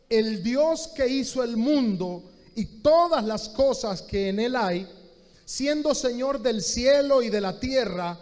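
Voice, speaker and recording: High-voiced Honduran pastor in a reverberant hall. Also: male voice, darkish tone. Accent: Honduran Spanish. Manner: repeats phrases, addresses listener directly. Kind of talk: sermon.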